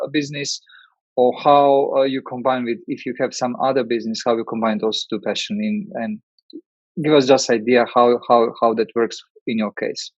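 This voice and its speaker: Halting male interviewer, dull lo-fi sound. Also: medium pitch, no room sound. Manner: halting, searching for words. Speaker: interviewer, host. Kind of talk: conversational interview.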